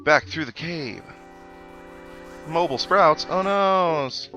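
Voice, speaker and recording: A man's voice: on the high side, animated, takes it slowly, pushed and bright. A man giving casual video game commentary in a wry, sarcastic, mock-exasperated way. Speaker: host of a video game playthrough. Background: video game music.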